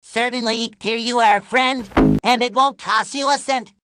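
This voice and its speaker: A performer voicing a pushy, cheerfully menacing cartoon character who pitches like a salesman in short comedy ad skits. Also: steady tone, measured pace, loud music behind the voice, close to an everyday voice. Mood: obliging.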